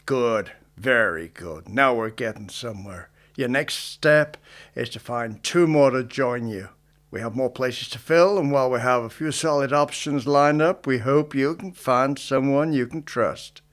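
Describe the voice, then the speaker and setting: A scheming Texas Ranger from a western radio drama, acted by a man. He is smug and self-important, with a falsely genial manner that carries menace. His voice sits at a medium pitch. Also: unhurried, close to an everyday voice. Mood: smug, menacing. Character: sly, scheming Texas Ranger with delusions of grandeur.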